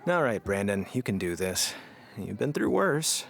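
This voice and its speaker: A man's voice: lowish voice, sing-song, a little slow, vocal fry, exaggerated voice. An actor playing a put-upon, sarcastic man in a comedic audio drama, grumbling with dry reluctance. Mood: reluctant, exasperated.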